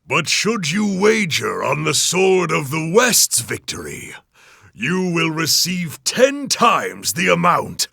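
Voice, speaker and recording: An actor playing a pompous, scheming rich arena master who announces in a grandiose, drawn-out way in a video game. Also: male voice, a little high, big pitch swings, creaky, bright, forceful tone, breathy edge, no room sound, clean studio sound, exaggerated voice. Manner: theatrical, grandiose, drawn-out delivery.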